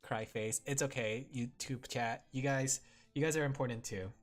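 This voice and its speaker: A man's voice: medium pitch, fairly even intonation, normal speed, soft background music. A man streaming and chatting casually with his viewers, rambling with lots of fillers and frequent giggles.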